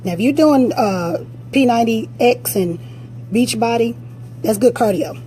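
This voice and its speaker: A woman fitness coach with a raspy voice, giving blunt, direct motivational advice during a live-stream Q&A on a phone. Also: a little high, unhurried, dark tone, a little hiss or hum, poor audio.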